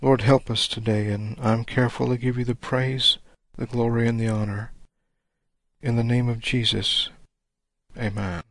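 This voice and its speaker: A man preaching a sermon, reading scripture slowly and deliberately, his voice a little rough and congested. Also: medium pitch, level tone, no room sound, low-quality recording. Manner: deliberate, measured reading of old-style scripture.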